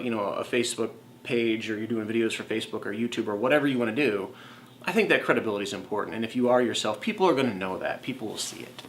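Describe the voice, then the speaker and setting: A man's voice: mid-range voice, a bit bright. Earnest, conversational man, faint background hiss. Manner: earnest, conversational, many fillers. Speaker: co-host, former TV meteorologist. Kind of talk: casual tips talk to camera.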